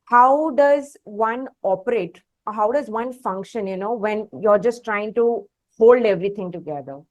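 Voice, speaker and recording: A woman with an Indian accent hosting a conversational music interview, phrasing her questions in a hesitant, pausing way. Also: mid-range voice, a little mellow. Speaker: interview host.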